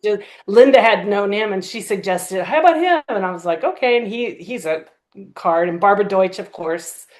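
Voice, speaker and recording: A woman's voice: mid-range voice, normal speed. A woman chatting casually in an interview as the creator of an audio drama, telling stories enthusiastically and acting out little quotes. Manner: enthusiastic, animated storyteller who acts out quotes.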